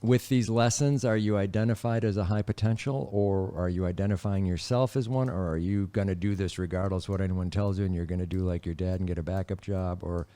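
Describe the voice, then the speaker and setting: A man's voice: deep voice, fairly even intonation, fairly quick, hardly any pauses, mellow. A man from Wisconsin hosting a podcast, curious and asking long strings of questions in casual chat. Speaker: podcast host.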